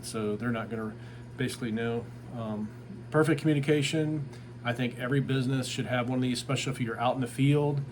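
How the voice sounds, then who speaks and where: A man's voice: medium pitch, darkish tone. Man casually reviewing gear over background noise. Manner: plain-spoken, informal, repeats "basically". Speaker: tree service business owner reviewing a product. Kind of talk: casual unscripted product review. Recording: clear background ambient noise.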